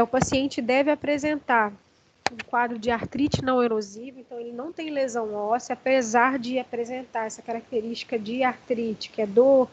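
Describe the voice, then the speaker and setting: A hoarse woman with a Brazilian accent, giving an online lecture with many fillers and restarts. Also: fairly high pitch, muffled recording, a little hiss or hum. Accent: Brazilian Portuguese. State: hoarse from allergy.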